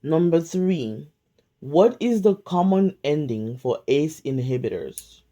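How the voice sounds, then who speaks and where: Woman, unhurried quiz questions, warm dark voice, measured pauses, phone mic at home. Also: deep voice, lively intonation, highs cut off. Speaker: pharmacy tech study tutor. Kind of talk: slow flashcard-style quiz review. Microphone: phone camera filming whiteboard.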